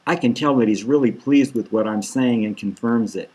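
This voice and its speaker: Husky man narrating a novel. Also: mid-range voice, normal speed, darkish tone, poor audio. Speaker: author reading own novel. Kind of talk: first-person audiobook narration, dramatised.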